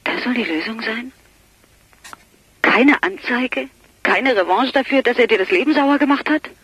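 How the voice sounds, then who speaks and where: An actress playing a teasing, sceptical, sharp-tongued woman in a German radio crime drama, dry and ironic. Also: on the high side, lively intonation, moderate pace, slightly rough, no room sound, exaggerated voice.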